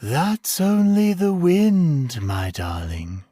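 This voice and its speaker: A man voicing an ancient creature that feeds on fear, crooning slowly and slipping into whispers. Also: a little high, huge pitch swings, warm tone, no echo, clean studio sound, voice pushed up, heavily acted. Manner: slow, crooning, drifting into whispers. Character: ancient creature feeding on fear, gloating and hungry.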